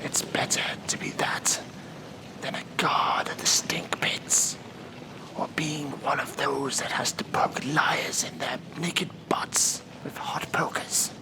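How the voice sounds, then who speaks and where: A man's voice: mid-range voice, steady tone, lightly acted, poor audio. A man voicing a stern, impatient demon judge of Hell in a whispered horror ASMR role-play, scolding and commanding. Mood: exasperated, instructing. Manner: scolding, weary, deliberate, commanding.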